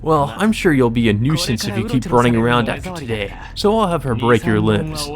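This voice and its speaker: A man's voice: medium pitch, lively intonation, fairly quick, a crowd in the background, close to an everyday voice. A man voicing a cruel, smug young male bully from a visual novel in a taunting, theatrical way.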